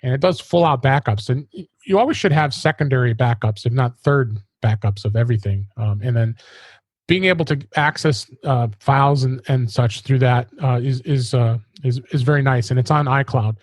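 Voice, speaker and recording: A man's voice: mid-range voice, normal speed, dry recording. A man hosting a casual tech podcast, rambling along and often repeating words as he restarts his sentences. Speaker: podcast host.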